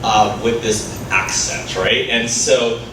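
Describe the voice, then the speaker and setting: A man's voice: on the low side, fairly even intonation, takes it slowly. A man from the Philippines and Canada, a native Filipino speaker, gives an interactive, conversational talk with self-deprecating asides and frequent check-in questions. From born in the Philippines, moved to Canada when very young. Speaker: education workshop presenter. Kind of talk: interactive live presentation to parents and educators. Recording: echoey room, far from mic, clear noise.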